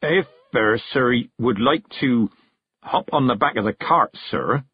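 A man acts a meek country carter in a storybook, a working fellow who defers at every turn. His voice is husky and hesitant, recorded dry. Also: mid-range voice, animated, measured pace, dry recording, muffled recording. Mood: meek, careful.

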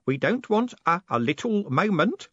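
Anxious little old postman, pitched up. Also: big pitch swings, moderate pace, pushed and bright, no room sound, dull audio, full character voice. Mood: nervous. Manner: hesitant, fussy, deferential.

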